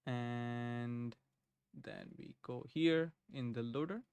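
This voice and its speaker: A man's voice: mid-range voice, takes it slowly, a little mellow, clear, resonant tone, no room sound. A young man streaming live from his home desk, thinking aloud as he codes and greeting viewers in the chat. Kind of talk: casual live coding chat. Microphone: desk microphone.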